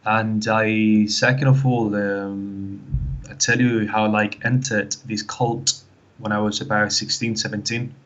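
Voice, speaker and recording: Rambling low-voiced caller with Spanish accent. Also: male voice, level tone, measured pace, a bit bright, highs cut off. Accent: Spanish with British English mix. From Canary Islands, Spain. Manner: rambling, many fillers and restarts. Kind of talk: phoned-in personal story.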